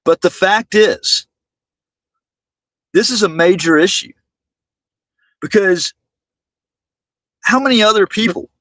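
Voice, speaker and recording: A high-voiced man speaks indignantly to his livestream viewers. His delivery is slow and halting, with words often repeated. The sound is close and dry. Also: a bit bright, no echo. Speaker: livestream host.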